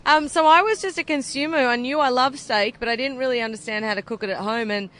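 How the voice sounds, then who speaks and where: A woman's voice: high voice, fast, a bit bright. Upbeat Australian woman explaining barbecue. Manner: enthusiastic, explanatory. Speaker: barbecue cook. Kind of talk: outdoor interview at a food event. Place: outdoor food event.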